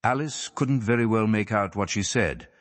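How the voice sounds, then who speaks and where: A man's voice: on the low side, moderate pace, no room sound. A man reading classic fiction aloud as scripted audiobook narration. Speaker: audiobook reader.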